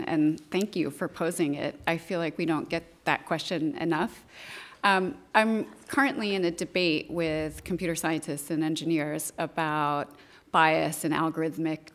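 Woman panelist with a low voice. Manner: thoughtful, measured, with fillers. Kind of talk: academic panel discussion. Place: lecture room, panel on stage.

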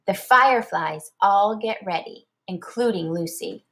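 A woman reading a children's storybook aloud like a teacher, expressive and deliberate. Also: mid-range voice.

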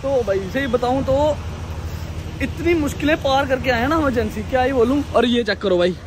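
A young man vlogging casually and excitedly to his viewers outdoors, filming himself on an action camera held at arm's length. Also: very high-pitched, moderate pace. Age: young adult. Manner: excited, addresses viewers as guys and brother. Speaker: motovlogger. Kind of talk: casual vlog chat. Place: outdoors by a roadside and a showroom lot. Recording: action camera with loud street noise.

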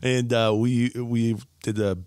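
A man in his thirties hosting a casual podcast interview, chatty and upbeat, speaking into a studio mic on a boom arm in a small home studio. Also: level tone, slow, a little mellow, clean tone, dry recording. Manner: chatty, upbeat, frequent laughs and "bro". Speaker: podcast host. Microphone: studio mic on boom arm, headphones.

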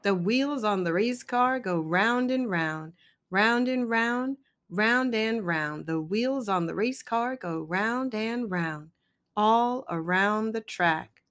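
Female picture-book narrator, unhurried and sing-song, mid-pitched, over car noise. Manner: rhythmic, expressive delivery with drawn-out sound words. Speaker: children's storybook reader.

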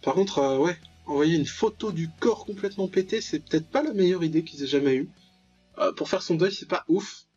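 A man's voice: fairly high pitch, a little fast, a little mellow, slightly rough, highs cut off, faint music behind. A man giving casual, joking commentary on a visual novel, ironically paraphrasing and reacting aloud as he plays. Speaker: let's player, channel host.

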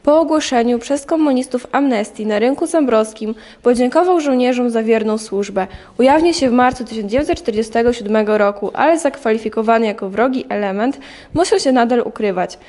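A woman's voice: on the high side, a little fast, darkish tone. A young woman reading scripted historical narration for an audio drama.

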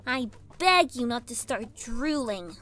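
A woman's voice: on the high side, animated, slow pace. A woman voicing a bratty, indignant young girl pony villain in a fan fiction audio drama, whiny, exasperated and dramatic. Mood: sarcastic disdain.

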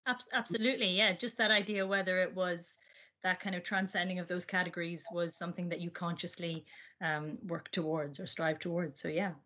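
A woman's voice: on the low side, steady tone, brisk, bright, forceful tone. A young woman asking earnest, polite, long-winded interview questions over a video call. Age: young adult. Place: room indoors at home. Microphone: video call computer mic. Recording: dull, lo-fi video call audio.

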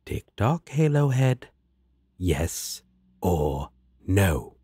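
A man's voice: sits low, very animated, extremely slow, warm tone, breathy edge, no echo, studio quality, full character voice. A man voicing a sardonic, exasperated but protective demon roommate in a fantasy audio roleplay, blunt and sarcastic. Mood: challenging.

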